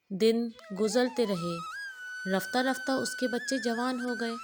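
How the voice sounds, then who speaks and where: A woman storyteller reading a scripted moral story as narration. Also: a little high. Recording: music playing behind the voice. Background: background music.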